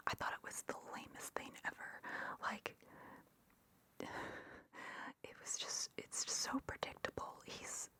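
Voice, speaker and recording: A woman's voice: on the high side, vocal fry, pushed and bright. A woman whispering a casual, opinionated and geeky ramble as an ASMR host.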